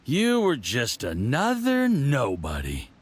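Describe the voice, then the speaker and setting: An actor playing a haughty, scheming diplomat villain in a video game, with a condescending, theatrical drawl. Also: male voice, medium pitch, huge pitch swings, slow, breathy edge, full character voice. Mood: smug, tipsy. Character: haughty, scheming Fatui diplomat, drinking and boastful.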